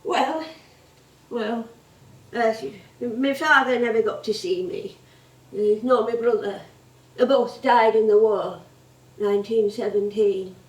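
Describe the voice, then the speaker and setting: Woman playing an old Northern English actress, high-voiced. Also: takes it slowly, long pauses. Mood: wistful. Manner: chatty, rambling, exclamatory. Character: elderly chatty Northern English music-hall actress, proud and nostalgic. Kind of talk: theatrical monologue-like reminiscing. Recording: roomy, distant stage recording.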